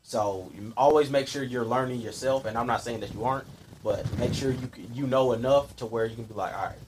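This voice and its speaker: A man's voice: medium pitch, a little fast, background noise. A man in his late twenties in casual chat, giving blunt, profane advice full of slang. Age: late 20s.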